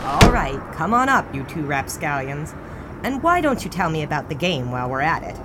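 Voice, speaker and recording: A teasing bossy 1920s lady editor in a radio drama, very lively pitch, car noise around. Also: normal speed. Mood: brisk, commanding. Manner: arch, playfully commanding. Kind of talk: period audio drama dialogue.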